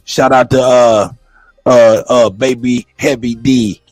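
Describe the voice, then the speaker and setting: A man hosts a casual livestream with music behind him. His voice sits at an ordinary pitch in a dry space. He talks in slang, often repeating a phrase. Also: fairly even intonation, slow pace, darkish tone, no echo, rough recording. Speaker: live stream host. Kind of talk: casual livestream chat with slangy shout-outs.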